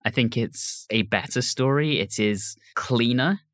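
A man with a medium-pitched voice hosts a podcast in a casual, conversational style. The recording is dry, with almost no room sound. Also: measured pace, fairly bright tone, no echo. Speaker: podcast host. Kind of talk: casual review discussion.

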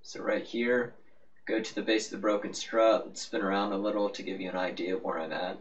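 A man's voice: fairly low pitch, level tone, fairly quick. A man giving a casual, rambling, offhand game walkthrough as the host of a gaming video. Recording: muffled, noisy, distant-sounding microphone.

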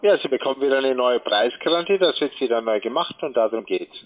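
Male telemarketer, quick and curt, pushy delivery over a tinny telephone connection. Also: medium pitch, lively intonation. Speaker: telemarketing caller selling electricity contracts. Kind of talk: phone sales call. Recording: tinny phone line.

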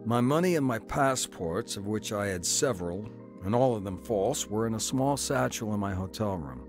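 A man's voice: on the low side, expressive, normal speed, over music. An actor with an American accent playing a wary, hard-bitten man on the run in a radio drama, terse and wry. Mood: matter-of-fact. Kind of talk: radio drama acting and first-person narration.

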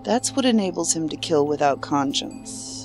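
Serious investigator, expressive pitch. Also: female voice, normal speed, over music. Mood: thoughtful, serious. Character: female investigator, thoughtful, astute, serious. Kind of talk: audio drama dialogue.

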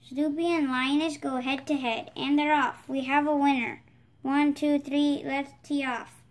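A woman's voice: high-pitched, level tone, measured pace. A female channel host reading a picture book aloud. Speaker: storybook reader, channel host.

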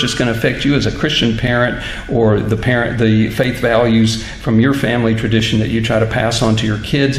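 Man speaking in an echoey hall. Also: lowish voice. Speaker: minister giving a talk. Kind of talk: public lecture in church, reading from notes. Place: church stage at a lectern, indoors. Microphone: microphone on stand. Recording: roomy hall echo, clear background noise.

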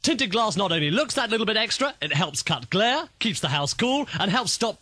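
A man voicing radio commercials as an announcer, reading the copy briskly in a studio. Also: sits high, sing-song, sharp tone, no echo. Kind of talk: brisk advert read with out-takes. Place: radio station production studio.

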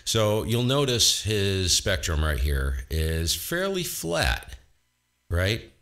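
A man with a boomy borderline baritone voice, casually walking through his ham radio setup at home and explaining what he hears. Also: takes it slowly. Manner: relaxed, explanatory, thinking aloud. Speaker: ham radio operator and host. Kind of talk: casual technical walkthrough.

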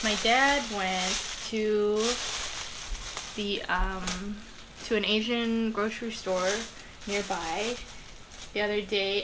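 A woman's voice: medium pitch, fairly bright tone, poor audio. A woman of about thirty chatting casually to camera in a small home kitchen, rambling with many ums and likes. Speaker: art vlogger. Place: small home kitchen-studio indoors, rain outside. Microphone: camera close on the table. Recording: home video with clear rain noise behind. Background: rain noise.

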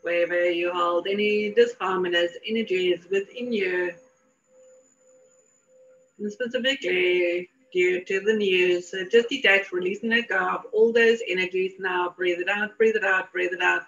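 A woman leading a guided meditation in a soothing, deliberate manner with repeated phrases. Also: medium pitch, steady tone, fairly bright tone, slight background noise. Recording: dull lo-fi audio.

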